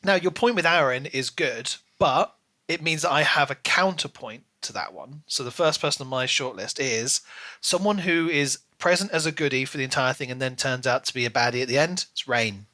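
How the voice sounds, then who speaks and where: A man hosting a casual book discussion, animated and dramatic, arguing his opinions. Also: medium pitch, normal speed. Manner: animated, dramatic, mock-voices characters' lines. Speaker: book podcast host.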